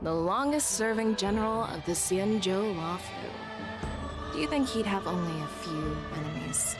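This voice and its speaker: A woman's voice: medium pitch, expressive, normal speed, natural voice. An actress playing a bold female general in a video game, commanding, blunt and nonchalant. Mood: blunt, assured. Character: bold, brisk, self-assured female general and warrior. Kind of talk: scripted game voice acting. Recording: game music behind.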